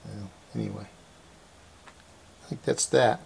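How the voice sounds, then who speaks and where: A man gives a hands-on repair demonstration, explaining informally and methodically step by step in a creaky voice. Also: on the low side, fairly even intonation, unhurried, darkish tone. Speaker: host of a radio restoration series.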